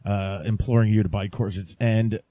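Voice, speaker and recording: A man's voice: fairly low pitch, fairly even intonation, normal speed, dark tone, no room sound. Chatty man hosting a podcast, dry sound. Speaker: podcast host. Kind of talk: casual podcast chat addressed to listeners.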